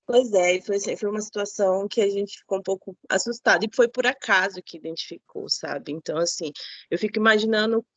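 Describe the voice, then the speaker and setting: A woman's voice: mid-range voice, a little fast, fairly bright tone, no echo. A woman moderating an online seminar, responding to the speaker in a conversational, reflective way. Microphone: video call. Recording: dry, slightly dull call audio.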